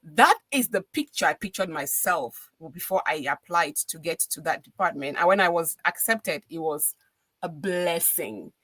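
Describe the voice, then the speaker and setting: A woman's voice: low voice, lively intonation, moderate pace, fairly bright tone, slightly rough, no echo. A middle-aged woman with a Cameroonian accent, a radio DJ talking earnestly as a podcast guest and repeating phrases for emphasis. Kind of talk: casual interview conversation.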